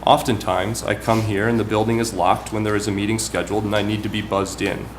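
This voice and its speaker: Low-voiced councilman reading formal remarks. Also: flat delivery. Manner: formal, measured, deliberate. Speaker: town councilman. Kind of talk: prepared public remarks at a council meeting. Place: municipal meeting room. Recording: clearly noisy public meeting recording.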